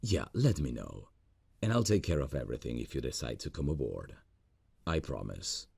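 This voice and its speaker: Earnest lovesick boss, played by a man: husky, dry close-mic sound, lively pitch. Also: dry recording, exaggerated voice. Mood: tender. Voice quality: deep gravelly voice. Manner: soft, intimate, slow and earnest. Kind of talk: ASMR roleplay audio.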